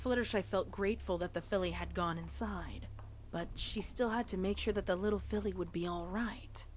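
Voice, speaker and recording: A woman's voice: mid-range voice, moderate pace. A woman reading fan fiction aloud as a storyteller, with casual reaction asides. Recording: faint background noise.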